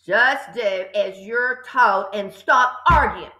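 A woman voicing a gruff, impatient, bullying father in a storybook, curt and snapping out orders. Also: sits high, animated, fairly quick, bright, forceful tone, roomy sound. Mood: angry, snapping.

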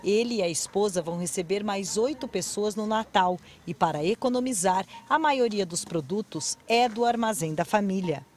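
A woman, narrating a news report in a measured way, reading out prices and percentages. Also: medium pitch, darkish tone, slight background noise. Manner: measured, figure-heavy narration. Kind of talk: scripted news voice-over.

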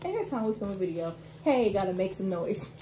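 A woman's voice: mid-range voice, sing-song, fast, mellow, a little hiss or hum, rough recording. A young woman co-hosting a casual vlog, teasing her sister and laughing as she runs the challenge timer at a table indoors. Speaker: co-host. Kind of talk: casual chat.

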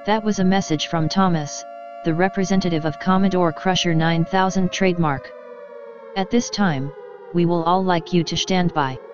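A man's voice: high-pitched, steady tone, normal speed, lightly acted. A man voicing a radio station announcer who makes formal emergency announcements. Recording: dull radio sound with noise.

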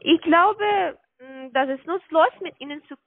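A woman's voice: high-pitched, unhurried, no echo. A non-native woman with a foreign accent, arguing dismissively and repetitively as a call centre agent over a tinny phone line. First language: not German.

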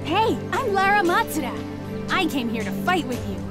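Exuberant, high-pitched young female fighter. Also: very animated, moderate pace. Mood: thrilled. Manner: exuberant, eager, breezy. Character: brash, bubbly young woman jiu-jitsu fighter, upbeat and eager. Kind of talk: animated video game voice acting. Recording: loud music behind the voice.

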